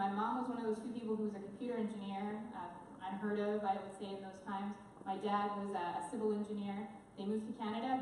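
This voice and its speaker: A woman's voice: mid-range voice, a little mellow, a little hiss or hum. A middle-aged woman from Venezuela whose first language is Spanish tells her personal story on a conference stage, candid, with many fillers and asides. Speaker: conference speaker. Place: large conference hall. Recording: echoey hall from far off.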